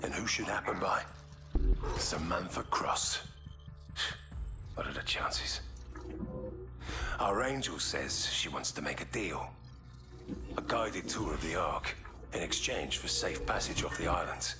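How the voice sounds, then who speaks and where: Very deep, ruthless male commander. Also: lively intonation, measured pace, long pauses. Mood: grim, sardonic. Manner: menacing, sardonic. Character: ruthless, sardonic military commander with a threatening temper. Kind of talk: sci-fi horror game acting. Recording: loud cinematic music over the voice.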